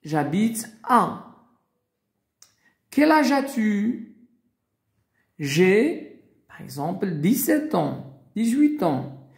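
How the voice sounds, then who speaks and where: A man teaches a beginner lesson at a very slow, careful pace, lingering over every example. His voice is dark and gravelly, and his pitch rises and falls a lot as he stresses each word. The room around him is echoey. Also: big pitch swings. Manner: slow, deliberate, spells out and repeats examples. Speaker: French teacher.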